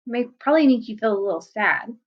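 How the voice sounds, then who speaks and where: A woman teacher reading a picture book aloud to children, gently explaining words and asking them questions. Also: on the high side, expressive, quick pace, highs cut off.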